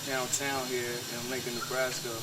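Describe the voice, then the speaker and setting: A man's voice: medium pitch, monotone, normal speed. A man interviewing a guest, asking loose, rambling questions full of fillers. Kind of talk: casual interview. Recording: loud background noise.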